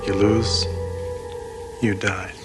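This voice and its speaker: An actor playing a calm, needling older master pool player in an American accent, speaking in a measured, deliberate way for a television drama. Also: male voice, lowish voice. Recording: television soundtrack with music behind.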